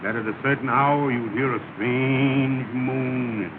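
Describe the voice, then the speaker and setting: A man's voice: mid-range voice, steady tone, unhurried, close to an everyday voice. A man playing a solemn, self-important lodge leader in a 1930 film comedy, talking pompously in ungrammatical dialect. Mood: solemn instructing. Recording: noisy, tinny 1930 film soundtrack.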